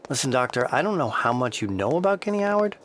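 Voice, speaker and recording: A man's voice: medium pitch, very animated, fast talker, slight background noise, lightly acted. A man playing a conscientious, guarded male researcher who sounds earnest and worried, in an audio drama.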